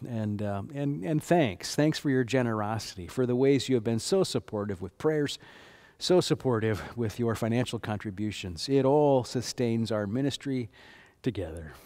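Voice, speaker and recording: Older male pastor, mid-pitched, lively church announcer, sanctuary. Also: normal speed.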